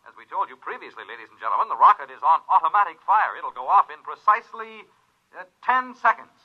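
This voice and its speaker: A man's voice: a little high, big pitch swings, quick pace, highs cut off. An actor playing a male television reporter announcing a rocket launch in a 1950s radio drama, on a thin vintage recording. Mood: urgent, formal. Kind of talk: 1950s radio drama acting, newscast delivery.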